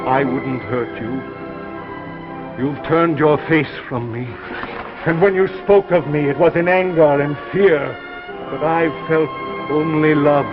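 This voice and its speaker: A man's voice: a little high, unhurried, close to an everyday voice. An actor playing a lovestruck, menacing machine come alive as a man, declaiming in a bookish, imperious way in 1950s radio drama style. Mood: pleading, lovelorn. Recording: dull vintage radio recording, loud music.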